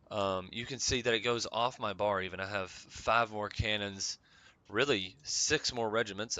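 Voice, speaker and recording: A young man with a slightly raspy voice, rambling casually with many ums while commenting on a strategy game. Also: on the low side, bright, forceful tone. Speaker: gaming channel host.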